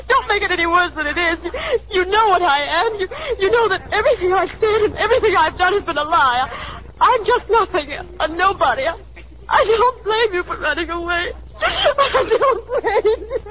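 High-pitched, wounded young woman, old radio. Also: expressive, over a noisy crowd. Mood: tearful, bitter. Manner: dramatic, halting, pleading. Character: anxious, wounded young woman, proud and despairing. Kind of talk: 1930s radio acting. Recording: scratchy, muffled 1930s radio broadcast.

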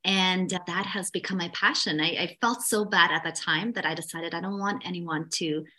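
A woman from Austria answers questions as a podcast guest. She speaks earnestly with some hesitant fillers, in a bright, sharp voice set a little low. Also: fairly even intonation, moderate pace. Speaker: expat coach, guest. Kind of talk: interview answers.

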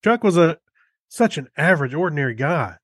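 A man's voice: fairly high pitch, lively intonation, brisk, breathy edge, dry recording, studio quality. An American man chatting casually as a podcast co-host, with an easygoing, folksy way of talking. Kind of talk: casual chat.

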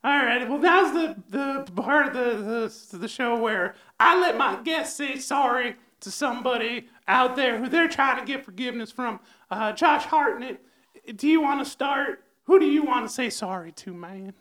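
A man's voice: very high-pitched, animated, normal speed, pushed and bright, exaggerated voice. A man voicing a dopey male cartoon mouse hosting an improvised comedy show, drawling "man" at the end of lines. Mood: goofy. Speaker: host.

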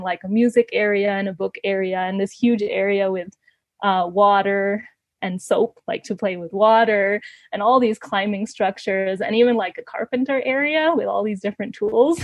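A woman's voice: medium pitch, darkish tone, no room sound. A woman in her thirties from Canada, chatting as an interview guest, laughing often between fillers and restarts. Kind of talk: casual conversational storytelling.

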